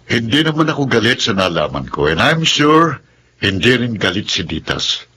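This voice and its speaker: A man's voice: fairly low pitch, sing-song, normal speed, bright, forceful tone, a little hiss or hum, heavily acted. An actor playing a caring, easygoing middle-aged father in a Filipino radio drama. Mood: amused, reassuring. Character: caring, easygoing older father eager for grandchildren. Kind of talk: Tagalog radio drama acting.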